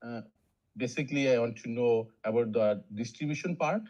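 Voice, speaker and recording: A man from Bangladesh speaks, formal and courteous as he puts a question to the panel. His voice sits at an ordinary pitch. It comes through a video call with dull, lo-fi audio. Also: brisk, darkish tone. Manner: formal and polite. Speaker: audience questioner.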